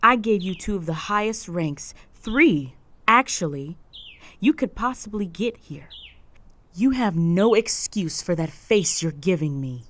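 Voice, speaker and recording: A woman playing a stern, proud, possessive female orc chieftain in a roleplay audio drama, speaking in a formal, measured, authoritative way. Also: lowish voice, lively intonation, a bit bright. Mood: possessive, exasperated.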